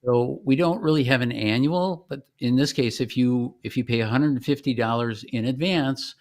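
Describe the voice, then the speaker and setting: A man giving an informal product demonstration as a company founder, explaining in a halting, conversational way. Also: medium pitch.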